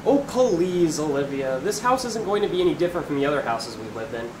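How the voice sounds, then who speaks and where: A man's voice: a little high, expressive, a little fast, close to an everyday voice. A young-sounding man playing an upbeat, teasing teenage brother in an amateur radio play. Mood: dismissive. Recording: echoey, noisy room.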